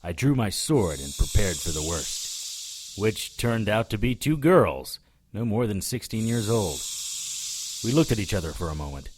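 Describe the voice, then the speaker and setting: A man's voice: lowish voice, big pitch swings, measured pace, a little hiss or hum, rough recording. A man playing a wry, hard-boiled hero, narrating a scripted audio drama in the first person with dry sarcasm. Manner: dry, sardonic. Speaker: narrator and lead character.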